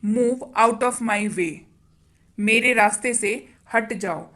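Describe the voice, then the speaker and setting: A woman leads an everyday-phrases drill in a slightly high voice. She speaks extremely slowly and deliberately, saying each sentence twice as if for learners to repeat. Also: fairly bright tone. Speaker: English teacher. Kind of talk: slow sentence-by-sentence language drill.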